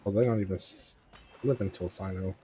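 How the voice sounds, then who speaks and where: A man's voice: deep voice, steady tone, brisk, warm tone. A man chatting casually as he plays a game on a livestream. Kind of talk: casual livestream chat while gaming. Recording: dull stream audio with faint noise.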